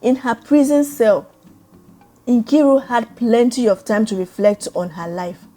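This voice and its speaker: Slow-paced woman telling a dramatic story. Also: fairly high pitch, dark tone. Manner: measured, solemn, dramatic delivery. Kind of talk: dramatic scripted story narration. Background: background music.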